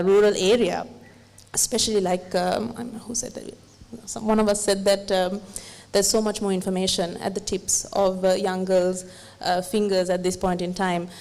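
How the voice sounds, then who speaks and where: A woman's voice: medium pitch, darkish tone. A woman panelist giving thoughtful remarks in a discussion, with frequent fillers and restarts. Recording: long hall reverb.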